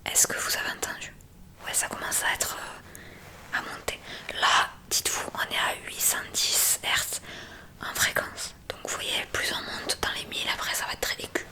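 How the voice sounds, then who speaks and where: A woman's voice: normal speed, bright, forceful tone. Whispering woman in an ASMR hearing-exam roleplay. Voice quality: breathy unvoiced whisper. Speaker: ASMR creator playing a hearing examiner. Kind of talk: whispered ASMR medical roleplay, addressing the listener.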